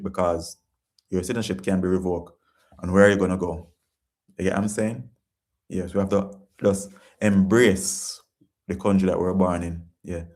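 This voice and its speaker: A man's voice: sits low, a little mellow. A Jamaican man hosting a casual live-stream chat, reading viewer comments and talking in an earnest, repetitive, preachy way.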